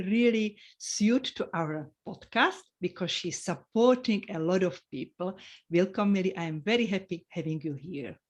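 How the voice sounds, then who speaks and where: A woman hosting a podcast who greets and introduces her guest in a welcoming way and uses simple phrasing. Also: medium pitch. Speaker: podcast host.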